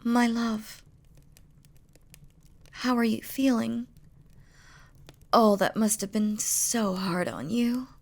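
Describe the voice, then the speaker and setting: A woman speaking in a concerned, apologetic manner in a gentle, intimate scripted roleplay. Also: on the high side, fairly even intonation, slow pace, vocal fry, long pauses. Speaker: voice actress.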